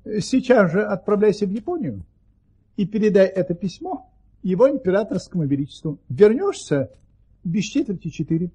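An actor playing a courteous, boastful, unflappable nobleman adventurer in an audio play, self-assured and courtly, with a husky, gravelly voice. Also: high-pitched, very animated, normal speed, mellow, full character voice. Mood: unruffled.

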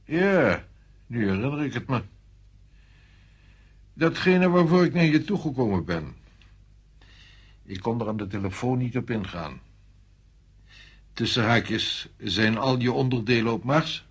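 Radio play general: husky, authoritative, measured, explaining things to a subordinate officer. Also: male voice, mid-range voice, expressive. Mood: commanding. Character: authoritative male French general briefing a subordinate. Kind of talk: Dutch radio play acting.

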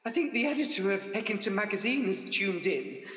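Melodramatic, vain pantomime dame played by a woman, high and swooping, in an echoey hall. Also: expressive, a little fast, fairly bright tone, lightly acted. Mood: brisk, comic. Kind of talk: radio pantomime acting.

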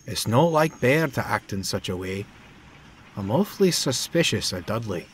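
A man's voice: lowish voice, very animated, normal speed, slight background noise. A man voicing a loyal, blunt, hearty steam engine with a Scottish accent in a children's story. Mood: concerned, suspicious.

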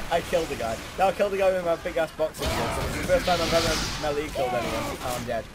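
A young man giving casual, excitable, rambling and self-deprecating commentary while playing a video game. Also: a little high, fast talker. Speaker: gaming video host. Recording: noisy game audio behind the voice.

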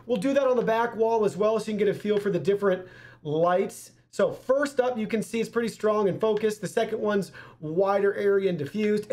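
A man's voice: high voice, darkish tone. A young man giving an upbeat, step-by-step product review to camera in an indoor studio. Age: young adult. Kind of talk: scripted-sounding product review presentation to camera.

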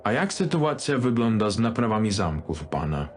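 A man playing a demanding dignitary customer in a dark fantasy audio drama, speaking in a cool, curt and haughty manner. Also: on the low side, very animated, moderate pace, slight background noise.

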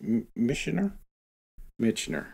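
Middle aged guy on a book podcast, average pitch, just chatting. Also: huge pitch swings, a little slow, gravelly, dark tone, husky. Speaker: podcast co-host. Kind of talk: casual book-discussion chat.

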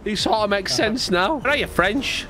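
A man's voice: high voice, lively intonation, a little slow. A man hosting a gaming video, chatting casually and jokily with swearing during online game roleplay. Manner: jokey, informal, swearing. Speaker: gaming video host and player. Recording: loud music and game sounds behind. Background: music, vehicle sounds.

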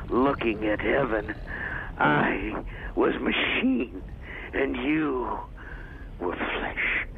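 A muffled, noisy radio play recording of a sadistic, godlike machine full of hatred for humans. The delivery is extremely slow and theatrical, with a gravelly creak, drawing words out with sinister relish. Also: male voice, medium pitch, full character voice. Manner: theatrical, savouring, drawn-out speech with sinister laughter. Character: sadistic, hate-filled godlike supercomputer tormenting humans. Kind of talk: radio drama acting.

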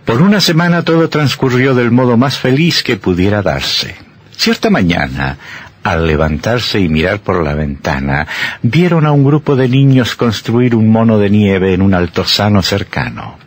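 A man's voice: on the low side, lively intonation, moderate pace, slightly rough. A man narrating an old radio horror drama in a scripted, dramatic style.

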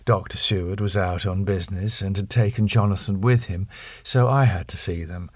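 Male audiobook narrator, lowish pitch, close dry studio sound, reading classic fiction. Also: fairly even intonation, moderate pace, darkish tone, slightly rough, dry recording. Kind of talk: scripted narration of a classic novel.

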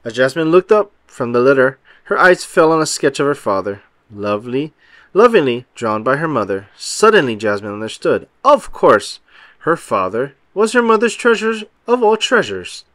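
A man reading a children's storybook aloud in an expressive, emphatic storytelling manner. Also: medium pitch, moderate pace, dry recording. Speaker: children's book read-aloud narrator. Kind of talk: expressive scripted storybook narration.

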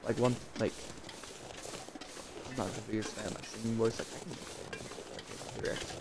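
A man casually commenting while he plays a game, in a calm, fairly monotone voice that drifts into rambling asides. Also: on the low side, measured pace, noisy background. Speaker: gameplay streamer.

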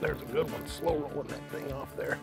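A middle-aged man hosting a fishing show, explaining tackle in a folksy way from a bass boat on an open lake. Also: moderate pace, creaky, loud music behind the voice. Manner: folksy, excited when landing fish. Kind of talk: outdoor fishing instruction.

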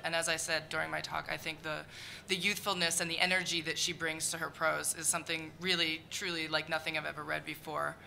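A woman's voice: low-pitched, gravelly, sharp tone, a little hiss or hum, rough recording. A woman book editor on a conference panel, speaking earnestly and with emphasis about a book's voice. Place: large conference hall.

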